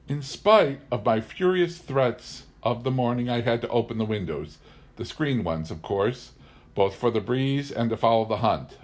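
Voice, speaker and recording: A man reading a memoir novel aloud in an expressive, scripted storytelling style. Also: lowish voice. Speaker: reader of an audiobook.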